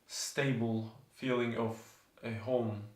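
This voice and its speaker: A man's voice: medium pitch, steady tone, slow pace, roomy sound. A man in his twenties from southern Turkey, with a Turkish accent, speaking reflectively in an interview and hesitantly searching for words. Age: 20s. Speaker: student, documentary subject.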